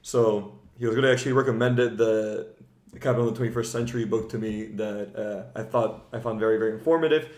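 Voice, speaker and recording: A man's voice: lowish voice, quick pace, darkish tone. A man hosting a podcast in casual, informal conversational speech, often peppering it with "like". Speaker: podcast host. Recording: roomy, echoing room sound.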